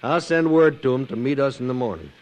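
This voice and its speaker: A man's voice: medium pitch, animated, a little fast, muffled recording, lightly acted. An actor playing a self-assured, conciliatory American frontier lawman in an old western radio drama, with a folksy, persuasive manner. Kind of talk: 1950s western radio acting. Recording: noisy old radio recording.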